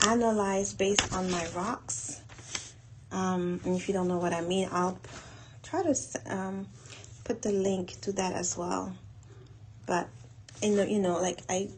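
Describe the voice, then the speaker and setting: A woman talking casually and haltingly as she thinks aloud through her planning in an audio log. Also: mid-range voice, a little mellow, rough recording. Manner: unhurried, halting, thinking aloud while doing tasks. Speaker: host of a planning audio log for a women's group. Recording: noticeable background noise.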